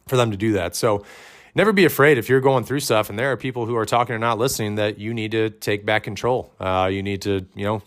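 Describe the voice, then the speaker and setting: A man hosting a podcast, giving loud, direct coaching advice in casual talk, with many ums and restarts. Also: on the low side. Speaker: fitness coach and podcast host.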